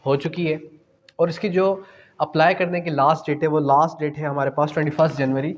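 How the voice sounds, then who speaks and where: A man's voice: fairly high pitch, level tone, brisk, muffled recording, a little hiss or hum. Pakistani male tutor in a reverberant hall. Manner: explanatory, mixes in English phrases, poses questions and answers them. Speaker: law exam tutor. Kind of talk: lecture to exam students.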